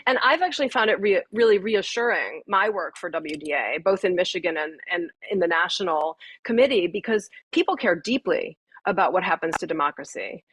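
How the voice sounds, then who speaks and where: A woman with a lowish pitch and a husky edge gives interview answers. She is articulate and earnest, laying out her points in full sentences. The sound is dry and close. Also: a bit bright, no room sound, low-quality recording. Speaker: podcast guest, writer and voting activist.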